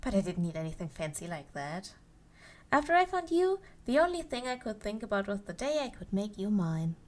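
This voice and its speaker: A woman playing a cheerful, possessive yandere elf in an ASMR roleplay, speaking to the listener in a coy, playful way with drawn-out phrases. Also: medium pitch, very animated, lightly acted. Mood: bright, possessive.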